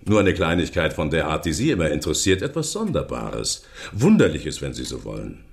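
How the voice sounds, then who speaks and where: An actor playing a self-assured, chatty police inspector in a German radio drama, explaining things in a smug, teasing way. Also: male voice, a bass voice, very animated, fairly quick, creaky, heavily acted. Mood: confiding.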